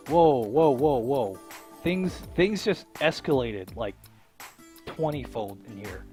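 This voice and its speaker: A man's voice: fairly high pitch, huge pitch swings, slow, gravelly, mellow. A man commentating casually on a game as he plays, reading bits aloud and tossing in joking reactions. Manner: joking asides and exclamations over the story. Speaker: let's play host. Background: game music with plucked guitar.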